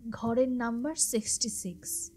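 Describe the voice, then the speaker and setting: A woman's voice: a little high, measured pace. A woman narrating a scripted horror story in a dramatic storytelling style. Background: music behind the voice.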